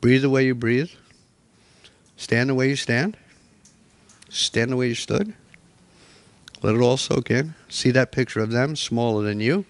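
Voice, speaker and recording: A man's voice: mid-range voice, measured pace, long pauses. Man coaching a live workshop. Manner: directive, coaching step by step. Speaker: workshop presenter. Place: hotel meeting room.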